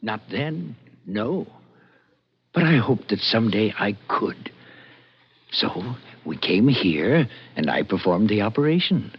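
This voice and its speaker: An actor playing an anguished, secretive scientist with a husky voice, agitated and halting, in 1940s radio suspense style. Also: male voice, fairly low pitch, very animated, heavily acted. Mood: anguished confession. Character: frantic, guilt-ridden professor, secretive mad scientist. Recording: dull old radio recording.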